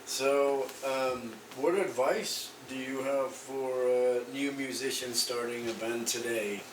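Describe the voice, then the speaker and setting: Brief: male interviewer, halting casual questions, distant mic in a noisy room. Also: medium pitch, steady tone, echoey room. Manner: hesitant, many "um" and restarts. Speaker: podcast host.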